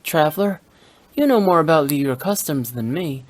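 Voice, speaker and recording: A man's voice: on the high side, expressive, moderate pace, natural voice. An actor playing an earnest, modest young soldier boy in a video game, polite and unsure of himself. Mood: earnest, flustered. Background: music.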